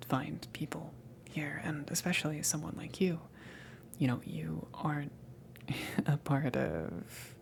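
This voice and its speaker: A woman playing a casual, kind alien salvage crewmember in a hesitant, reassuring ASMR roleplay, whispery and breathy. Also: low-pitched, a little hiss or hum. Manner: hesitant, rambling, reassuring, soft chuckles. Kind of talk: ASMR roleplay, improvised-sounding soft talk.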